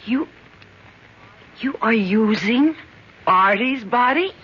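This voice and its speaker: A man's voice: very high-pitched, animated, takes it slowly, a little hiss or hum, pitched above the performer's own voice, exaggerated voice. A man voicing a startled young woman who stammers in shock, in an old radio drama preview. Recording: dull old radio broadcast.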